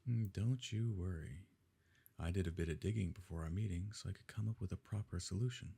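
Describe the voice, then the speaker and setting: A man playing a smug, wealthy evil nobleman in an intimate ASMR roleplay, suave and condescending, with a gravelly, husky voice. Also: deep voice, brisk, dark tone, heavily acted. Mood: haughty, calculating. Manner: suave, condescending, leisurely formal phrasing.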